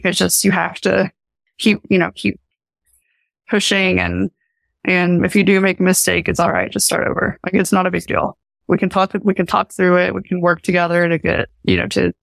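A young woman with an Appalachian accent speaks in a fairly low, even-toned voice with some fry. The recording is dry and close, and she explains things earnestly and informally, as a guest in an interview. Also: moderate pace, no echo. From Appalachia, United States. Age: young adult. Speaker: professor and research scientist, podcast guest. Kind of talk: conversational interview answers.

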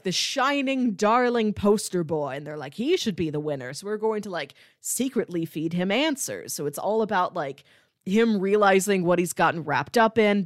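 Animated woman chatting casually, dry close sound. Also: fairly low pitch, dry recording. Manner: animated, informal, conversational. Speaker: podcast co-host. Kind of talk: casual chat, pitching movies.

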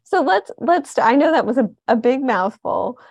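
A woman's voice: high-pitched, very animated, normal speed, darkish tone, slightly rough, dry recording. A woman with an American accent casually hosting an interview in a conversational, enthusiastic way. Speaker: radio show host.

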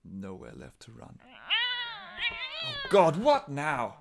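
An actor playing a desperate, unhinged husband in a horror radio drama, theatrical, shifting between mock politeness and frantic pleading. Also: male voice, a little high, huge pitch swings, takes it slowly, vocal fry, heavy background noise, full character voice. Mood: distraught. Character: desperate, unhinged husband hiding his monstrous cat wife.

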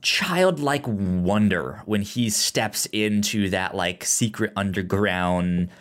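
A man co-hosting a casual film discussion, talking haltingly with repeated words and false starts. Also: on the low side, a bit bright. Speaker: podcast co-host.

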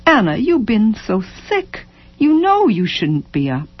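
A woman voicing a worried, gentle husband in a literary story, softly beseeching his wife in folksy speech. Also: on the high side, huge pitch swings, a little slow, lo-fi sound, full character voice. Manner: soft, beseeching, folksy dropped endings.

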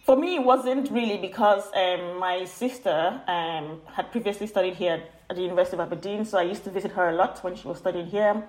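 A woman's voice: fairly low pitch, brisk, hardly any pauses, a little mellow. A woman from Nigeria with a Nigerian accent, speaking as an informal, chatty podcast guest who feels her way through her phrasing. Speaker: guest, university postgraduate admissions officer. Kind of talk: conversational podcast interview. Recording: roomy, echoey sound.

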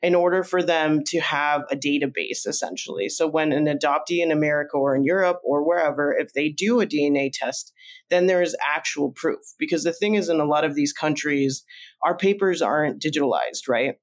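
A man originally from Colombia, a podcast guest, telling his story in a loose, meandering conversational way and jumping up in pitch when he acts out remembered lines. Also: a little fast. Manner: animated, jumps up in pitch when acting out remembered quotes; loose and meandering, with frequent fillers and restarts.

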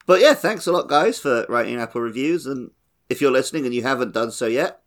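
A man's voice: medium pitch, expressive, fairly quick. A man in his thirties co-hosting a gaming podcast in casual, conversational style. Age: 30s. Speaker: podcast co-host.

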